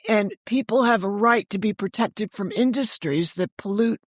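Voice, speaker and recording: An American woman in her sixties telling stories conversationally as an interview guest, animated and emphatic, jumping between thoughts. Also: medium pitch, normal speed, no echo, clean studio sound. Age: 60s. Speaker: guest, author and audio drama creator.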